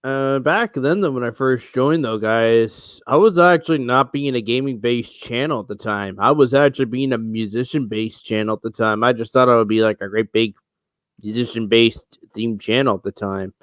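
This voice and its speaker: A man's voice: mid-range voice, moderate pace, a bit bright, no room sound. Man in his thirties, livestream rant, rambling, frustrated, headset mic, dry small room. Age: 30s. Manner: rambling, frustrated, many restarts. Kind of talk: casual solo livestream rant. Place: small room at home, indoors.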